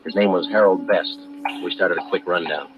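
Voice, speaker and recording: A man playing a stoic American police detective, narrating in a clipped, deadpan way in 1950s radio drama style. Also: low voice, normal speed, lo-fi sound, close to an everyday voice. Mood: matter-of-fact. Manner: matter-of-fact, deadpan, clipped. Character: stoic, businesslike male police detective sergeant. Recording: vintage 1950s radio broadcast with music.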